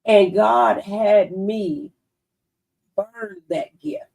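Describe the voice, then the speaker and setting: Host: gravelly-voiced woman on radio talk, emphatic testifier, a bit off-mic. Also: medium pitch, big pitch swings, very slow, darkish tone, distant mic. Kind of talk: radio talk show conversation. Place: radio studio.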